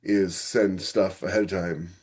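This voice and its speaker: A man with a slightly low voice chats as a podcast co-host. He offers his views informally, as in an easy back-and-forth discussion. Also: steady tone, measured pace, darkish tone, husky. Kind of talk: casual opinionated discussion.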